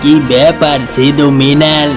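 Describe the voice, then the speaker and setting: A man voicing a village headman in a cartoon, measured, pondering and authoritative. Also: a little high, level tone, music in the background, lightly acted. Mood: deliberate. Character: village headman, deliberate and fair-minded elder.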